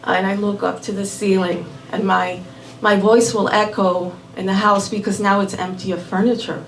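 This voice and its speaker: A woman raised in Miami telling a personal story live at a small venue, unhurried and measured, dropping in Spanish words. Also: medium pitch, flat delivery, a little hiss or hum. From born in Puerto Rico, raised in Miami. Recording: roomy venue audio from a distant mic.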